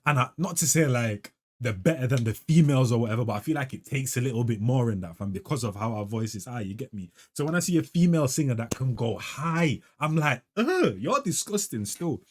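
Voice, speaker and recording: Young guy, mid-pitched, reaction host, casual slangy musing, keeps addressing the viewer. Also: animated, brisk, hardly any pauses. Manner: slangy, musing, tags lines with "fam" and "bro".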